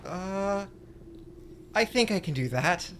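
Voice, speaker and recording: A man's voice: high-pitched, lively intonation, takes it slowly, slight background noise. A man talking casually as a player in a tabletop game. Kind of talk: casual tabletop play talk.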